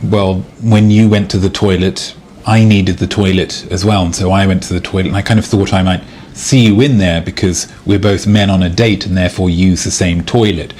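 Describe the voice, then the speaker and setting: A lowish male voice, a man acting as a knowing date in a sitcom-style scene, calmly confronting his partner in long, orderly explanations. Some background noise sits behind him. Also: normal speed, close to an everyday voice. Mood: calm, hurt. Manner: explains at length in orderly detail. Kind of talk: acted comedic date dialogue.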